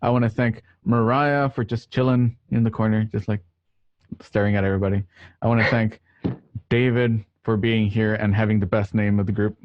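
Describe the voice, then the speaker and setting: A man hosting and narrating an online radio play, with a somewhat halting delivery. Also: fairly low pitch, steady tone, dry recording, lo-fi sound. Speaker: host and scene narrator. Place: home, remote online recording.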